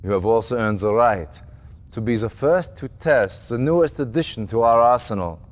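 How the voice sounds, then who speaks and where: An actor playing a stern, resolute military general in a game cutscene, giving orders with formal, clipped authority. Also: male voice, medium pitch, expressive, moderate pace, lightly acted. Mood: rousing, resolute. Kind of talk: dramatic video game cutscene acting. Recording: long hall-like reverb.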